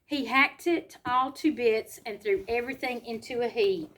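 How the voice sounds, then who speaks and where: A woman reads a storybook aloud to children, pausing to explain simply and ask them questions. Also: fairly high pitch, a bit bright, slight background noise, poor audio. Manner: simple explanatory asides and questions to young listeners.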